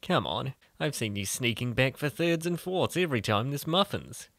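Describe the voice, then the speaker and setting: A man's voice: mid-range voice, big pitch swings, moderate pace, creaky, a bit bright, no room sound. A man voicing an eager, doting young boyfriend in a comic dub. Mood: doting, coaxing.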